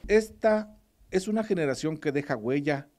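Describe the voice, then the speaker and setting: A man's voice: a little high, normal speed. Man reading a news voice-over. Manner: steady, formal reading. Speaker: news reporter.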